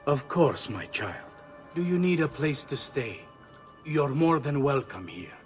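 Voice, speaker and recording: An actor playing a smooth-talking, paternal but duplicitous family friend with a measured, soothing manner. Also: male voice, mid-range voice, sing-song, soft background music. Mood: reassuring.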